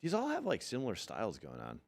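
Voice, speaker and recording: A man co-hosting a casual podcast, joking around and counting down a music chart in an animated way. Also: medium pitch, quick pace. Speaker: podcast co-host.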